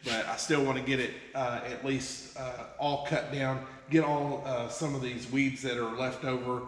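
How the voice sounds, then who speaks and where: A man's voice: mid-range voice, little pitch movement, moderate pace. A man, getting over a head cold, hosting a casual farm how-to vlog outdoors and rambling with many fillers. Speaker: farm vlogger. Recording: distant, echoey outdoor camera audio.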